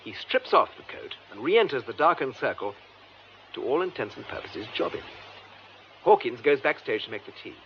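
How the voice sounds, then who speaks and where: An actor playing a composed, methodical English police superintendent in a radio drama, with measured, formal, precise delivery. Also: male voice, medium pitch, big pitch swings. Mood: grave, narrating. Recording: muffled lo-fi radio drama, faint noise.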